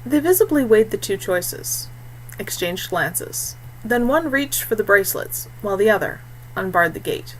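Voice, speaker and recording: Woman narrating fiction over clear background noise. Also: medium pitch, animated, normal speed, rough recording. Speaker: audiobook narrator.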